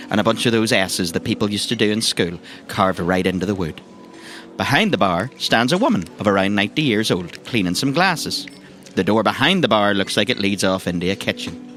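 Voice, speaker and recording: A man with a lowish voice and a Northern Irish accent runs a tabletop game. He sets scenes in informal, descriptive narration, with faint music under him. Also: a bit bright. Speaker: game master.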